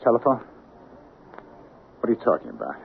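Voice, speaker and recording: An actor playing an anxious, guilt-ridden husband in an old-time radio drama, halting and muttering, on a tinny recording. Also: male voice, on the low side, very animated, creaky, slight background noise, heavily acted. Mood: anxious, fixated. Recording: tinny old radio broadcast, music behind.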